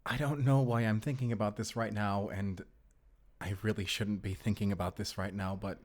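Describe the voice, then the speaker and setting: A man's voice: lowish voice, fairly even intonation, normal speed, lightly acted. A man playing a young vampire slayer in a cinematic audio roleplay, devoted, defiant and earnest in his delivery. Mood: tender, longing.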